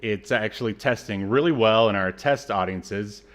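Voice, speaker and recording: A man with a fairly low voice chats casually as a podcast co-host. He feels his way through his thoughts in a rambling, hesitant manner, with a sardonic edge. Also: moderate pace, fairly bright tone. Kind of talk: casual film discussion chat.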